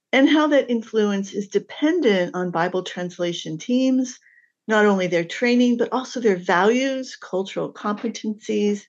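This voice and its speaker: Woman hosting an interview, warm thanks and soft mm-hmms, kinda muffled audio. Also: mid-range voice. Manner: gracious, with soft affirming murmurs. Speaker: podcast host.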